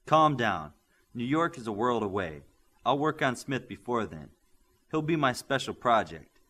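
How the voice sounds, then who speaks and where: A man reading the part of a confident male coach who leads a young team, in a calm, assured voice. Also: medium pitch, unhurried, exaggerated voice.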